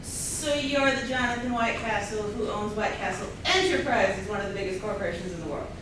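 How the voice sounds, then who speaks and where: An actress playing a chatty, admiring party guest in a staged comedy reading. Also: female voice, medium pitch, fairly quick, a bit bright, lightly acted. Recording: very echoey room, distant mic, noisy.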